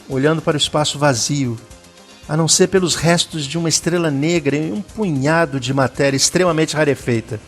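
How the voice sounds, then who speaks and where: A Brazilian man narrating a scripted dramatic story in a solemn, deliberate manner. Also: a little high.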